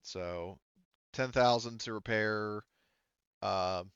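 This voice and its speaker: A man with a low, smooth voice runs a tabletop role-playing game. He explains rules in a casual, halting way, often rewording himself. The sound is dry and slightly dull. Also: fairly bright tone, dry recording. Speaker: game master.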